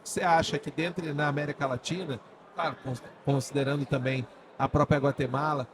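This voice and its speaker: Rambling Brazilian podcast host. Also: male voice, a little high, steady tone, brisk, noisy background. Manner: rambling questions full of "né?". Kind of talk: podcast interview. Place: studio setting.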